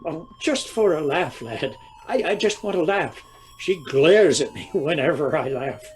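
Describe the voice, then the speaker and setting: An actor playing a grumbling, teasing older Irish husband in an audio comedy drama, with an Irish accent and a dry manner. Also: male voice, fairly high pitch, lively intonation, a little slow, poor audio. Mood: sceptical, sly.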